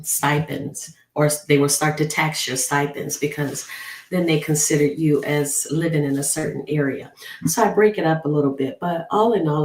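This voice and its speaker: A hoarse woman, a travel nurse, telling a story in a rambling vlog, with many ums and restarts. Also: low voice, darkish tone. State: hoarse from a lingering flu cough. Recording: echoey room.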